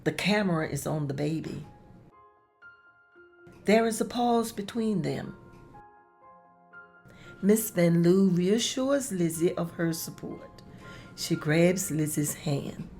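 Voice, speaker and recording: A woman's voice: on the low side, expressive, slow pace, pauses often, a little mellow, soft background music. A woman reading stage directions as scripted narration at a table read.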